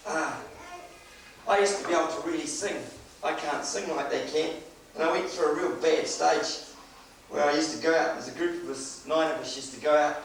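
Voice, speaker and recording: Middle-aged New man, high-voiced storytelling preacher, distant mic in a booming hall. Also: a little hiss or hum. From New Zealand. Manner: storytelling, chuckling, animated. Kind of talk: sermon with personal story. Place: church hall. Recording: echoey hall recorded from afar.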